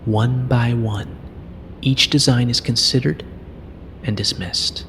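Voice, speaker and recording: A man reading a fantasy story as scripted dramatic narration, in a solemn, measured storytelling manner. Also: medium pitch, steady tone, fairly bright tone, slight background noise. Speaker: narrator reading fan fiction. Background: music.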